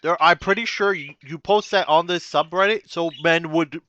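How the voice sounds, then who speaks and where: A man's voice: on the high side, moderate pace, a bit bright, no room sound. A man reacting to a video in casual commentary, blunt and crude, repeating his phrases as he talks. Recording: slightly dull dry recording.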